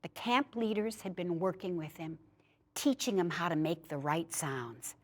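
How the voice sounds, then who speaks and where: Low-pitched woman reading a story aloud. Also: a little slow. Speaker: story reader. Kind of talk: reading a personal childhood memoir aloud.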